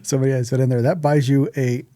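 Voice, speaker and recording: A man's voice: mid-range voice, flat delivery, fast talker, dark tone, dry recording. A man co-hosting a podcast, chatting casually in an informal, rambling way. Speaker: podcast co-host.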